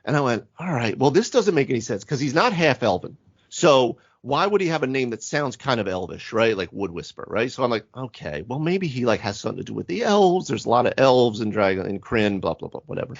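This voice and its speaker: A man's voice: medium pitch, sing-song, brisk, dry recording. Man in casual chat, dry slightly dull audio. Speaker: podcast host and game master. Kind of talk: casual tabletop gaming chat.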